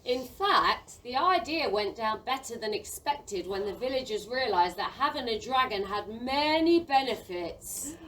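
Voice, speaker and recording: A woman's voice: a little high, lively intonation, a little slow, hardly any pauses, a bit bright, distant mic, slight background noise, rough recording. A woman acting as the storybook narrator of a children's fairy tale play. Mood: storytelling.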